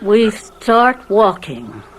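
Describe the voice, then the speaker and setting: An actress playing a determined, protective American wife in an old-time radio drama, speaking urgently and emphatically. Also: female voice, mid-range voice, very animated, very slow, full character voice. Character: determined, defiant young wife, desperate and protective. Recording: noisy vintage radio drama recording.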